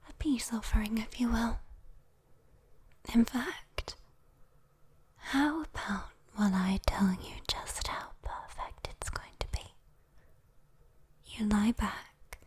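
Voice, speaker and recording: A woman's voice: fairly high pitch, a little mellow, slightly rough, exaggerated voice. A British woman voicing a sweet, teasing demon in an ASMR roleplay, coaxing and soothing a sleeper as she bargains for a soul. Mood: playful persuasion. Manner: whispered, coaxing, soothing, lingering on words.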